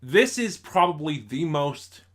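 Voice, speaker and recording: Halting, digressive man giving a casual review. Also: a little high, big pitch swings. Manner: halting, digressive, with self-corrections and asides. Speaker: audio drama reviewer. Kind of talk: casual review with story recap.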